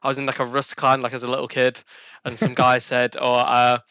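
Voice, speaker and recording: A young northern English man with a bright, crisp voice, chatting casually as a guest. His delivery is very even in pitch and recorded dry. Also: a little fast, no echo. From Burnley, northern England. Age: young adult. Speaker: professional esports player, guest. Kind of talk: casual chat.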